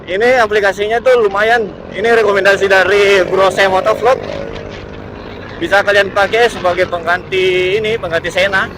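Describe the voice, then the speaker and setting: A man gives casual, unscripted vlog commentary while riding, repeating himself and searching for words. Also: sits high. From Indonesia. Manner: halting, repetitive, searching for words. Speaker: motovlogger. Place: outdoors, riding a motorbike in traffic. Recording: steady engine and road noise. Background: motorbike and vehicle noise.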